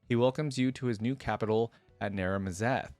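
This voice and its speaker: A man voicing a menacing mercenary rider, an emperor's envoy, in a formal, measured storybook reading. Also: on the low side, a little mellow, no room sound, faint music behind, natural voice. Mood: formal menace.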